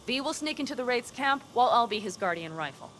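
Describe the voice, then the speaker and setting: A woman's voice: on the high side, animated, fast talker, fairly bright tone, a little hiss or hum. An actress playing a tough, driven young nomad woman in a video game, speaking in an emphatic, commanding way. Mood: focused, urgent.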